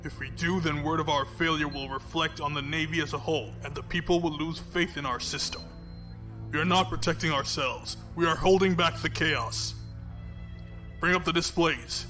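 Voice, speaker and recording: A man's voice: on the high side, fairly even intonation, moderate pace, sharp tone, faint music behind. Man acting a haughty, proud space fleet commodore. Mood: grim, defiant. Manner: haughty, commanding. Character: proud, stubborn male fleet commodore, cold villain. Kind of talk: space opera audio drama acting.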